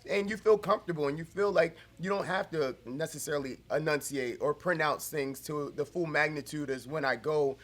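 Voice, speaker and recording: Man from the Philly area, fairly high voice. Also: a bit bright. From Philadelphia area. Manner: measured, explanatory, searching for words. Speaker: co-host. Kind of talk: casual discussion.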